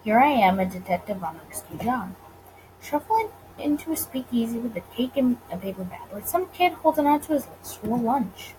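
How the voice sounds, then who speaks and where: Animated, higher-voiced woman gamer. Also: moderate pace, poor audio. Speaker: gaming video creator. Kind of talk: casual let's play with read-aloud narration. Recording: faint background noise.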